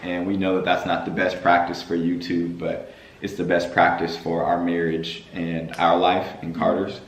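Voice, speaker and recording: A young man with a hoarse, worn-out voice chatting casually on his family vlog, with a playful preacher-like cadence. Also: low voice, completely flat delivery, moderate pace, reverberant, low-quality recording. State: hoarse from screaming at games. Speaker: vlogger.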